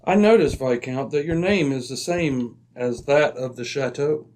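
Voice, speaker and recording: A man playing a polite, observant gentleman detective with formal, measured wording in an old-time radio drama. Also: mid-range voice, a little hiss or hum, close to an everyday voice. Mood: inquisitive.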